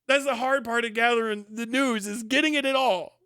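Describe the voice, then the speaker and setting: A man's voice: very high-pitched, fairly even intonation, a little fast, bright, forceful tone, clear, resonant tone, no echo, clean studio sound. A man hosting a livestream with casual reaction commentary, talking and reading aloud in a blunt, mocking, profane way. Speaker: livestream host.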